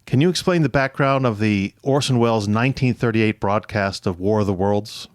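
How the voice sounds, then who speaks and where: A man's voice: lowish voice, moderate pace, dry recording, clean studio sound. A man hosting an interview show, asking questions in a conversational way. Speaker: podcast host interviewing experts.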